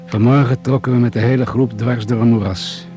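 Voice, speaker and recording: A man's voice: medium pitch, steady tone, fairly quick, mellow, soft background music, lightly acted. An actor playing a dry, authoritative male doctor leading survivors in a radio drama. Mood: matter-of-fact.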